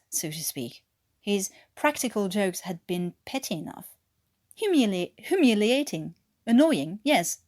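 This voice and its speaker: A woman's voice: mid-range voice, a little mellow, dry recording, studio quality. A French woman reading a story aloud as an amateur narrator, with a French accent reaching for an English one. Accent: French, attempting English. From France. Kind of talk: expressive scripted story narration.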